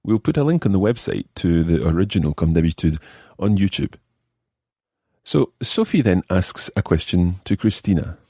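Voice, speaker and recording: Low, mellow male language teacher. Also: very animated, unhurried, breathy edge, dry recording, studio quality. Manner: explanatory, addresses the listener with questions. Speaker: language lesson host. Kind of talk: clear scripted teaching narration, switching between languages.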